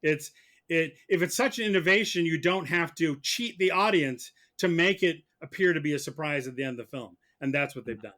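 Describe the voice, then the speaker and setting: Podcast mock prosecutor: male, slightly high-pitched, argumentative, stumbles and doubles back on his words. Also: fairly bright tone. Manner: argumentative, halting with repeated words. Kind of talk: comic debate on a film podcast.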